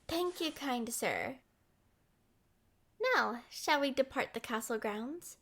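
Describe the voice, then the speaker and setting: A young woman acting a playful, headstrong, lovestruck princess in an expressive roleplay audio. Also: high voice, slow pace, full character voice. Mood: coy, pleased. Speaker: voice actor.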